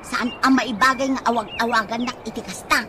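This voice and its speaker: A woman's voice: a little high, fairly even intonation, a little fast, lightly acted. A woman playing a practical, nagging Ilocano wife in a radio comedy drama, chiding and complaining. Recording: radio drama with noisy chirping ambience.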